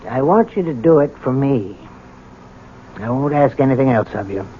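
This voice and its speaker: An actor playing a patient but insistent prison doctor in an old-time radio drama, conversational and coaxing. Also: male voice, mid-range voice, expressive, moderate pace, close to an everyday voice. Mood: earnest, coaxing. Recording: dull, noisy old radio broadcast recording.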